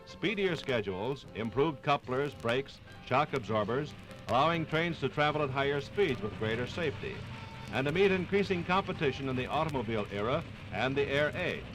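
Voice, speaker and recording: A man's voice: mid-range voice, expressive, normal speed, low-quality recording. An American man narrating a 1950s radio documentary from a script in a formal, emphatic, oratorical manner. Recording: 1950s radio program on vinyl. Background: music.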